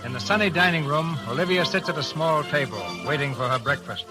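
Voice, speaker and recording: Male narrator, 1930s radio style, slightly high voice, scripted, prominent music, vintage recording. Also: a little fast. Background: loud music.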